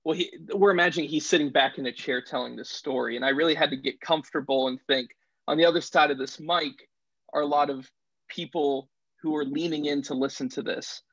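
Young man, fairly high pitch, conversational, dry close sound, dull video-call audio. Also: fairly even intonation, fairly quick, a bit bright, no room sound. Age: young adult. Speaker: student actor on panel. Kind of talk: conversational panel discussion. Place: small home room. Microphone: video call, earbuds.

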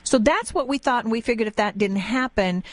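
A woman's voice: a little high, brisk, no room sound. An American woman interviewing on the radio in a conversational way, inquisitive and plain-spoken as she checks she understands.

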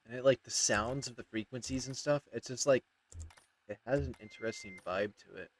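A man's voice: medium pitch, normal speed, slight background noise. Bantering man, game commentary. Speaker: game streamer. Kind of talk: casual playthrough commentary. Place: indoor room.

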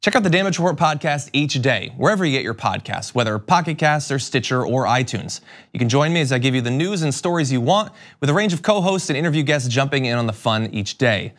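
Casting: American male host, thirties, crisp forceful delivery, mid pitch, close dry studio sound. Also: lively intonation, fairly quick, dry recording. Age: 30s. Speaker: show host. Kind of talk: casual interview hosting.

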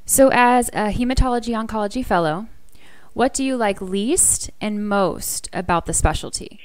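A woman hosting a podcast, asking direct, brisk interview questions. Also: medium pitch, clean tone, dry recording. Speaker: podcast host.

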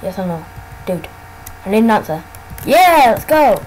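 A woman casually commenting on her gameplay, excitable and often exclaiming. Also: medium pitch, moderate pace, a little hiss or hum, poor audio.

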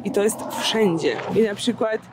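A woman's voice: medium pitch, moderate pace. A young woman chatting casually to the camera in an informal, slangy vlog style. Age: young adult. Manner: informal, chatty, with slangy English words dropped in. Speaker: vlogger. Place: in and beside a camper van, outdoors. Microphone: handheld camera at arm's length. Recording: noisy on-location vlog sound.

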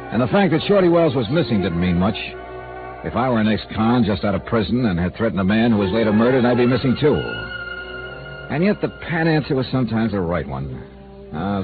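An actor playing a dry, skeptical insurance investigator who narrates a 1950s radio drama. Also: male voice, fairly low pitch. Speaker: narrator and lead. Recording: tinny old radio transcription.

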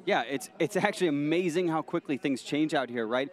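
A man's voice: fairly high pitch, brisk. A man giving expert analysis on live sailing coverage, thinking aloud and often repeating words as he restarts.

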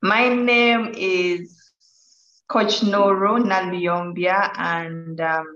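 A woman with a Ugandan accent talks casually as an interview guest. She speaks at a slow pace, heard over a video call with clear room echo and a slightly dull sound. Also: lowish voice, fairly even intonation, fairly bright tone. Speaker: life coach, interview guest. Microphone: video call device.

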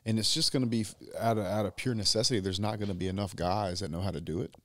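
A man, a business owner talking as a podcast guest, measured and drawn out, louder when he presses a point. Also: fairly low pitch, steady tone. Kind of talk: casual conversation. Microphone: boom mic on stand.

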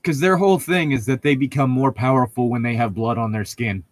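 A man's voice: medium pitch, fairly quick. A man casually joking with friends and reading a novel aloud as a podcast host on a voice call. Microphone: close mic on an online voice call.

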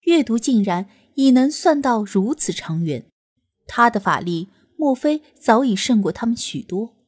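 A woman reading an audiobook in expressive storytelling narration. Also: on the high side, a little slow, husky. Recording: narration over soft music.

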